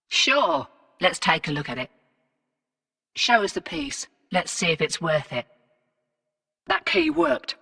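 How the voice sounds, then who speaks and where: An actress playing a brash, wry, streetwise space-colony fixer in a video game, with a laconic, cocky, slangy drawl. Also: female voice, lowish voice, vocal fry, pushed and bright, long reverb tail, distant mic, heavily acted. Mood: breezy, dealing. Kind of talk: scripted video game character dialogue.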